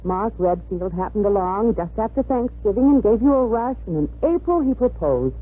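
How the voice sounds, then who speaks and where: An actress playing a worldly, self-satisfied middle-aged mother in a 1950s radio drama, brisk and theatrical in manner. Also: mid-range voice, lively intonation, breathy edge, phone-quality sound. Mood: reassuring, insistent. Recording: thin 1950s radio recording.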